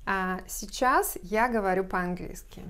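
A woman in her thirties gives a whiteboard grammar lesson at a medium pitch. She explains patiently, slowing down to sound out each example phrase. A lapel mic picks her up close in a bright room. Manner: slow, careful explanation with spelled-out English examples. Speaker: English teacher.

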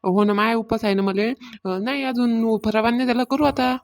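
High-voiced, grumbling village housewife played by a woman. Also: steady tone, normal speed, a little mellow, natural voice. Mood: chatty, complaining. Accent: rural Maharashtrian. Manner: complaining, repetitive, colloquial. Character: aggrieved village housewife fretting over her worship. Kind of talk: amateur cartoon comedy dialogue.

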